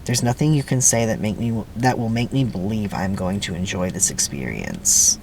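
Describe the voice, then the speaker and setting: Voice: sits low, animated, normal speed, creaky, a little mellow, slight background noise, pitched below the performer's own voice. Someone doing a sullen, cynical teenage boy. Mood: sullen, bitter. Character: Stanley, cynical, sullen sixteen-year-old boy. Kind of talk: dramatic script reading.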